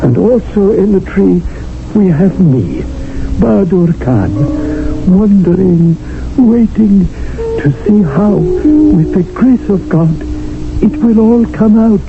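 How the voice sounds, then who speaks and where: An actor playing a wry, watchful servant who narrates in formal phrasing. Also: male voice, sits high, animated, slow, creaky, full character voice. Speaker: narrator and gun bearer. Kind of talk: 1970s radio drama acting. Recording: tinny lo-fi radio broadcast with music.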